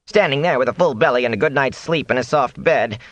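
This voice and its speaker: Man as a frantic peddler, storybook character, hoarse rasp, whining, self-pitying, lively intonation. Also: medium pitch, unhurried, no echo, highs cut off, pitched above the performer's own voice, full character voice. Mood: whining, bitter. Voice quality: raspy, hoarse. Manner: whining, jittery, aggrieved. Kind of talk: audiobook character voice.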